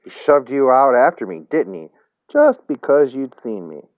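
A man's voice: lowish voice, lively intonation, normal speed, no echo, narrow, tinny audio, natural voice. A man voicing an angry young woman in a story, curt and mocking, set on revenge.

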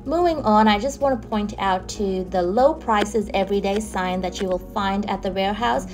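A chatty young woman talks viewers through a store, pointing out products and prices in a casual, upbeat vlog voice. Her voice sits at a middle pitch, with soft music underneath. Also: normal speed. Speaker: vlogger. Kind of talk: casual shopping tour narration. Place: in a car on the motorway.

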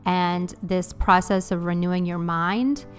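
Woman, lowish voice, gentle and earnest, encouraging vlog voice-over, faint music behind. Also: fairly even intonation, measured pace. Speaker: cleaning vlogger. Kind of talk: voice-over over cleaning footage.

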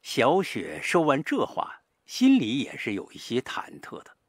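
Husky male audiobook narrator, lively intonation. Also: mid-range voice, measured pace, dry recording, clean studio sound. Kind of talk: dramatic scripted horror-mystery narration.

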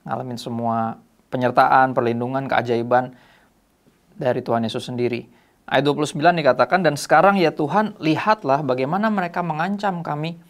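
A man with a gravelly, creaky edge to his mid-pitched voice hosts a youth devotional program. He reads Bible verses aloud and then explains them in an easygoing teaching tone, recorded in a small studio. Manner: reads scripture aloud between casual remarks. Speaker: host. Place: small dark studio set, indoors.

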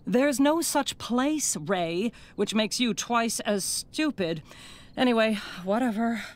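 A woman's voice: a little high, animated, takes it slowly. A woman voicing a snide, mock-serious cat news anchor in a cartoon, with an arch and sarcastic delivery. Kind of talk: cartoon newsreader parody.

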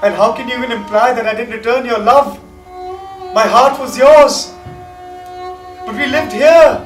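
Actor as brooding young man, high-pitched, echoey stage. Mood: impassioned. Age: young adult. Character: brooding, guilt-haunted young man, defensive lover. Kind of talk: theatrical stage monologue and dialogue. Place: large indoor theatre stage. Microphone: far camera. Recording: echoey theatre stage from far off, music behind.

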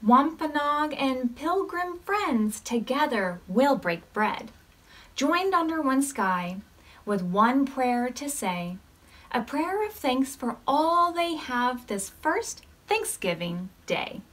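An American woman in her thirties leading storytime as a children's librarian, reading aloud expressively to preschoolers and putting questions to them. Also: high voice. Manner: expressive, measured reading with questions to young listeners.

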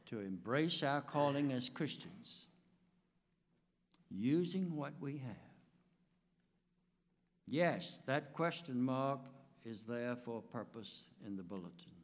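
A man in his seventies preaching and praying as a pastor, in a solemn, deliberate manner with measured phrasing. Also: mid-range voice. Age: 70s. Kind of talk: sermon and prayer. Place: large church sanctuary, indoors. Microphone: pulpit gooseneck microphone. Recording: long church reverb.

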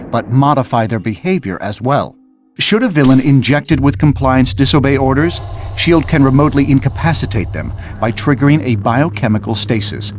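An actor playing a cool-headed, articulate superhero scientist explaining technology in a video game briefing. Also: male voice, medium pitch, natural voice. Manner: articulate, clinical.

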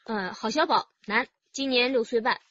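An actress playing an earnest, orderly police detective in a radio drama. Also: female voice, a little high, moderate pace, fairly bright tone, highs cut off, close to an everyday voice. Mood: earnest, factual.

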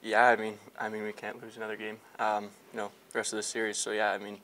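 A man's voice: fairly low pitch, completely flat delivery, fast, a bit bright, a little hiss or hum. A young male hockey player in a locker room after a game, answering reporters with many fillers and restarts. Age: young adult. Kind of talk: post-game media scrum. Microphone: several reporters' handheld mics held close.

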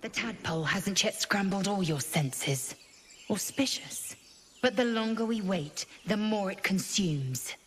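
A woman's voice: medium pitch, expressive, measured pace, a little hiss or hum, close to an everyday voice. A woman playing a haughty alien warrior in a fantasy game, imperious and clipped in manner. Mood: stern, imperious. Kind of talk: cinematic fantasy game acting.

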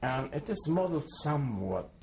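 A man's voice: a little high, big pitch swings, normal speed, gravelly, noisy background. A man in his seventies, born in Poland, giving a careful oral history testimony and spelling names letter by letter. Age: 70s. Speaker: interviewee.

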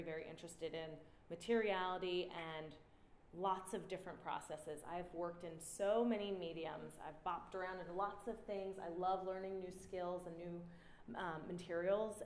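A woman in her thirties giving an artist talk at a standing mic, relaxed and reflective, pausing often with "um". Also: on the low side. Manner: relaxed, reflective, many "um" pauses. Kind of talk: presenting her artwork with slides. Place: indoor gallery room before an audience. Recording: roomy gallery sound, faint noise.

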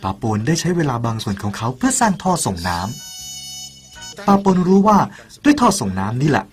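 A man's voice: on the high side, wildly swooping pitch, moderate pace. A man narrating a parable in an earnest, persuasive way and speaking directly to the listener. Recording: soft music behind the voice.

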